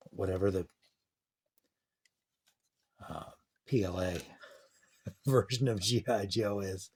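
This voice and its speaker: A man's voice: lowish voice, darkish tone, husky. A man giving casual, offhand, slightly wry hands-on commentary as a hobbyist reviewer. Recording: noisy with clicking.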